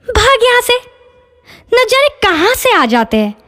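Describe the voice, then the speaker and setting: A woman playing a fretful village wife and mother in a cartoon story, with an expressive, dramatic manner. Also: very high-pitched, a little slow, exaggerated voice. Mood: irritated. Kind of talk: Hindi cartoon story dialogue.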